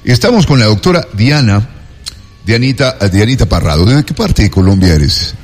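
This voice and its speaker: A man with an Ecuadorian accent hosting a casual talk show, effusive and digressive, often halting and repeating himself as he chats. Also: lowish voice, sing-song, slightly rough. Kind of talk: casual rambling monologue and interview chat.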